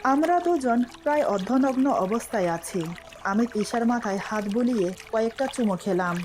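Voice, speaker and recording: High-voiced woman narrating a romantic story. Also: expressive, normal speed, a little mellow, a little hiss or hum.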